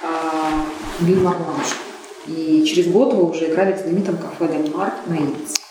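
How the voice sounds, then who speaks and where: A woman's voice: low voice, fairly even intonation, normal speed. A woman interviewing a guest, asking questions in a conversational way. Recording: echoey room with crowd noise.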